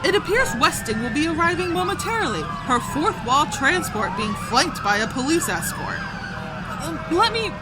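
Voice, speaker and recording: A woman's voice: sits high, very animated, normal speed, very noisy. A woman playing a pompous, earnest podcaster giving a live on-the-scene report in an audio drama. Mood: self-important.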